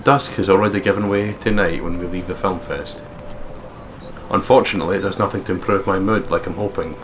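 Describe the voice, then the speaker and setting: A man's voice: low-pitched, fairly even intonation, fairly quick, background noise. A man from the United Kingdom hosting a let's play, reading a visual novel's narration aloud with casual asides. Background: game music.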